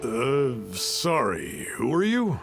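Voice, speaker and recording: A man's voice: medium pitch, sing-song, slow pace, soft background music. A man playing a guarded, easily affronted townsman in a video game. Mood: wary.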